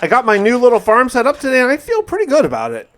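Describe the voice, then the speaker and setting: A man narrating gameplay as a dramatic first-person survival diary, in an emphatic, theatrical way with drawn-out words. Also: extremely high pitch, huge pitch swings, fairly bright tone. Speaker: gaming video host.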